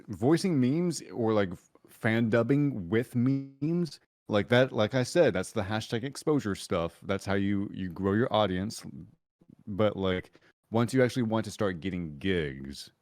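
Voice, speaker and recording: Low-voiced man explaining things, dry sound. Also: animated, a little slow, darkish tone, no room sound. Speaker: podcast guest, voice actor giving industry advice. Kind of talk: casual advice-giving panel chat.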